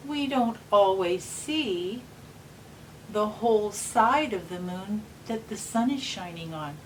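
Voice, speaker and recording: Female children's read-aloud host, very unhurried and patient, simple explanatory phrasing, recorded off-mic with slight noise. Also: mid-range voice. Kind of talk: gentle explanatory talk and picture-book read-aloud for young children. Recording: distant mic with faint background noise.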